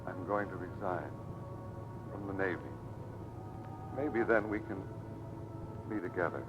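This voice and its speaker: A man's voice: deep voice, unhurried. An actor playing a weary, earnest man in a military hospital in an old radio drama. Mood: weak, tender. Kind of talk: 1940s-50s radio drama acting. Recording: muffled vintage radio recording with music.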